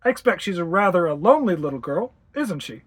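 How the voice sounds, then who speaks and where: Female reader as elderly gentleman physician in a story, kindly, professional, measured. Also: lowish voice, big pitch swings, breathy edge. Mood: reassuring. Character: kindly, reassuring old male family doctor.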